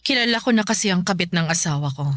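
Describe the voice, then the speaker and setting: A woman's voice: on the low side, lively intonation, fast talker, a bit bright, no echo. A woman playing a betrayed wife in a Filipino radio drama, bitter, fierce and accusing as she confronts a rival. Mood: guarded, grave.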